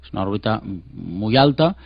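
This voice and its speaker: A man gives an informal expert explanation in a fairly low voice, feeling his way through it. The sound is dry and slightly dull, like a played-back radio clip. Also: expressive, moderate pace, vocal fry, no echo. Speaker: radio interview guest explaining science.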